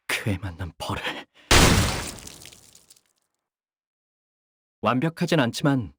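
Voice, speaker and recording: Young syndicate soldier, widely swinging intonation. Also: male voice, medium pitch, dry recording, studio quality. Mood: formal, obedient. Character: young male syndicate soldier, dutiful, polite, coldly menacing. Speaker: game character voice actor. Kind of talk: acted character voice lines.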